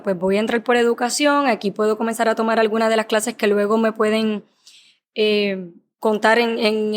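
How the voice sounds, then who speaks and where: A woman from Puerto Rico with a Puerto Rican accent, a clinical psychologist telling her story as a podcast guest in a measured, thoughtful way. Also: a little high. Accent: Puerto Rican Spanish. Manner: measured, thoughtful, with small fillers. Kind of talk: podcast interview, personal storytelling.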